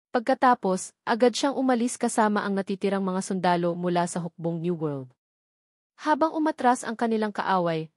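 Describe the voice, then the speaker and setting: A woman's voice: mid-range voice, a little mellow, dry recording, studio quality. A woman reading a scripted story as an audiobook narrator.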